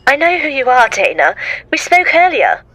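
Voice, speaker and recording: A woman's voice: high voice, big pitch swings, normal speed, bright, forceful tone, heavily acted, rough recording. A woman acting in an audio drama as a plucky, exclamatory young companion to a time traveller. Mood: plucky, uneasy.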